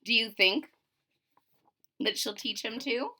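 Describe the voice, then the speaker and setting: A woman reading a children's storybook aloud expressively, with deliberate, emphatic phrasing and simple explanations. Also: on the high side, pushed and bright, a little hiss or hum. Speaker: storybook reader.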